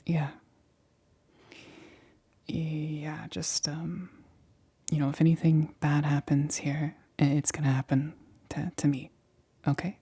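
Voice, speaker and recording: A woman playing a nervous scientist in a whispered ASMR roleplay, speaking softly and breathily with hesitant, halting stammers. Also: low voice, little pitch movement, breathy edge, full character voice. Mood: nervous, protective. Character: nervous female scientist examining an alien specimen.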